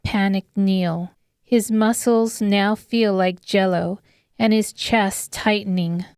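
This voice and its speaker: Female narrator for dramatic fiction audiobook. Measured pace, resonant tone, very dry sound. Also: mid-range voice, steady tone, darkish tone, smooth, no room sound. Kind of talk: scripted dramatic story narration.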